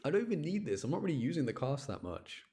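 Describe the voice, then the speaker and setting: A man's voice: fairly high pitch, sing-song, fast talker, mellow, husky. A man giving casual live commentary as he streams a video game, rambling and often repeating himself.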